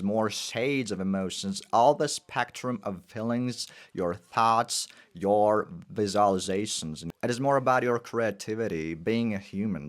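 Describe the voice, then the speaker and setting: Energetic man. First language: Russian. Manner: energetic, with sudden emphatic pitch jumps. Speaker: English teacher. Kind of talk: teaching monologue.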